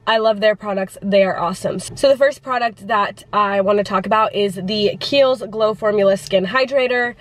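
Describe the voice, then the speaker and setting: Congested female vlogger, chatty and filler-heavy, mid pitch. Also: moderate pace, few pauses, poor audio. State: congested from the flu. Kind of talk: casual vlog chat.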